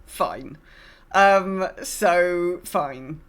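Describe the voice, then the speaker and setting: A woman's voice: on the low side, very slow, fairly bright tone. A woman co-hosting a gaming podcast in casual chat, rambling and often breaking off mid-sentence. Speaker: podcast co-host.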